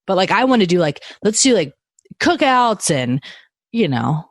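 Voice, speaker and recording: A woman's voice: on the low side, very animated, moderate pace, no echo. A woman in her thirties co-hosting a podcast in easy, rambling chat. She laughs often and leaves thoughts half-finished. The recording is dry and close, with no room sound. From United States. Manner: chatty, laughs often, trails off mid-sentence. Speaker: podcast co-host. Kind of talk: casual chat.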